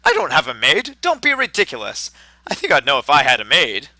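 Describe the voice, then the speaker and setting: A man's voice: medium pitch, wildly swooping pitch, brisk, bright, forceful tone, no room sound, full character voice. A man playing a pompous, blithely jovial mad doctor in a noir radio drama. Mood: baffled, dismissive. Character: eccentric, oblivious male mad doctor hosting a dinner, blithely jovial.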